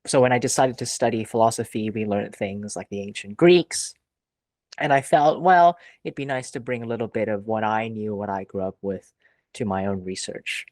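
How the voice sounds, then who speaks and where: A man's voice: lowish voice, steady tone, normal speed, darkish tone, no room sound. A young man from Hong Kong, a philosophy PhD student, answering interview questions thoughtfully with some hesitation.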